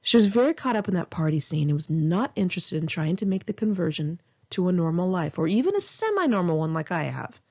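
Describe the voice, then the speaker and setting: A woman's voice: on the low side, big pitch swings, fairly quick, hardly any pauses, darkish tone, dull audio. A woman reads her own first-person memoir in a personal, conversational storytelling way. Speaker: author reading own memoir. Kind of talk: first-person memoir narration, audiobook read in one take.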